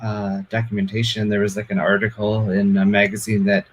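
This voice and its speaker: A man's voice: on the low side, completely flat delivery. Hesitant man giving a casual demo. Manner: hesitant, halting. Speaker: guest demonstrating a project. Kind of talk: casual show-and-tell demo.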